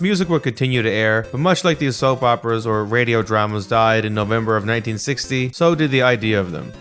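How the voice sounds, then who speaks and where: A man's voice: mid-range voice, a bit bright. A man narrating a scripted video essay.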